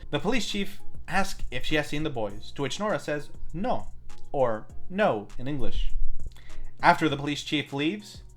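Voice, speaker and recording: A man's voice: fairly high pitch, sing-song, unhurried, fairly bright tone, faint music behind. A man hosting a film review, reading a scripted plot recap and adding wry commentary of his own. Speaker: film review show host.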